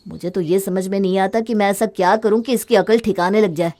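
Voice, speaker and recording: A woman's voice: mid-range voice, brisk, dark tone, pitched below the performer's own voice, natural voice. A woman voicing a young husband in a storybook drama, fed up with his wife's spending and mocking her sarcastically. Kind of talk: storybook voice acting.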